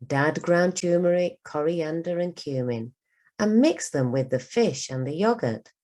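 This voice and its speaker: A woman reading a children's storybook aloud for learners, as a teacher does, with careful, expressive delivery. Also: low voice, a little slow. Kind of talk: scripted storybook narration for learners.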